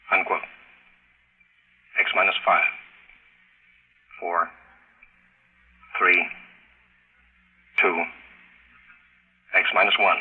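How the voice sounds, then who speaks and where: A man's voice: sits low, slightly rough, exaggerated voice. A man playing a solemn launch controller over a radio intercom in a 1950s drama, with grave, declamatory announcing. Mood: countdown. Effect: radio intercom filter. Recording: phone-like filtered vintage radio.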